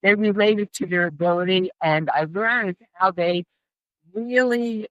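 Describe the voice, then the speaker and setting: A woman's voice: mid-range voice, a little slow, clear, resonant tone, dry recording, lo-fi sound. A middle-aged woman speaking as an interview guest and care specialist, explaining and advising emphatically and repeating phrases for stress.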